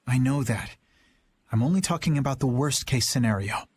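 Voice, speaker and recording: An actor playing an earnest, principled young knight captain in a video game, speaking in a measured, thoughtful way. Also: male voice, medium pitch, a little hiss or hum, lightly acted. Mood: solemn. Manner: measured and reflective, rising to a rousing rally. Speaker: knight commander.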